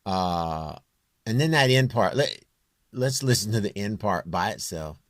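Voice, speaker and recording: A man with a low, near-bass voice talking through a home music production tutorial in a halting way, thinking aloud as he works. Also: expressive, no echo, clean studio sound. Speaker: home musician demonstrating his vocal production. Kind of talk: informal screen tutorial.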